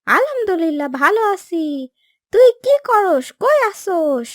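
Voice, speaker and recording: A woman plays a kind-hearted young cartoon boy with a Bangladeshi accent. The voice is very high and lively, emphatic and childlike, recorded close and dry. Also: measured pace, dry recording, heavily acted. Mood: eager. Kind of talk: Bengali cartoon dubbing.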